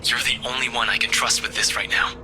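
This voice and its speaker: A man voicing a blunt, grim young man who breaks bad news haltingly, in a visual novel game. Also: mid-range voice, level tone, music in the background, close to an everyday voice. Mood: urgent, guarded. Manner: hesitant, halting, terse.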